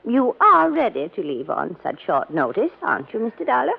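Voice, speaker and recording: An actress playing a genteel, commanding wealthy widow of about seventy in a 1950s radio drama. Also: female voice, on the high side, wildly swooping pitch, fairly quick, gravelly, breathy edge, exaggerated voice. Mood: proud. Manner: gracious but commanding. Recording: dull vintage radio recording.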